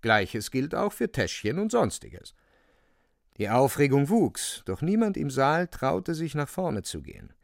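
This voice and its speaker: A man's voice: mid-range voice, very animated, unhurried, gravelly, dry recording, studio quality. A man narrating a literary radio drama as a dramatic storyteller.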